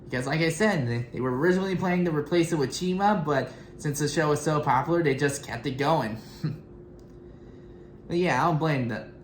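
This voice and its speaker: A young adult woman giving casual, unscripted reaction commentary in a halting, rambling way. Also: low voice, a little hiss or hum. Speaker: reaction video host. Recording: roomy echo, clear music behind. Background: music from the intros being watched.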